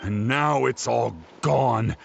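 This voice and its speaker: A gravelly, aggrieved scholar. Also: male voice, mid-range voice, slow pace. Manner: aggrieved, wordy. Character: aggrieved older male scholar Ponche, fussy and proud. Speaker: game character. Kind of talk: video game voice acting. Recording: dull game audio with music.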